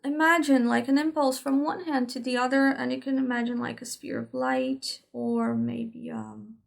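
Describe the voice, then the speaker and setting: A woman's voice: fairly high pitch, normal speed, gravelly. A woman whose first language is Portuguese, casually explaining an energy exercise to her viewers and checking in with them often. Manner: relaxed, chatty, frequent "right?" check-ins and light laughs. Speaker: energy work teacher. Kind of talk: casual instructional talk to camera.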